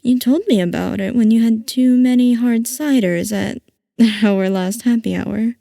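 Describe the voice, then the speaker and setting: A woman playing a playful, possessive scientist boss who dotes on her assistant, in a soft, coaxing ASMR roleplay. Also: on the high side, a little slow, gravelly, dry recording, studio quality. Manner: soft, coaxing, affectionate villainy.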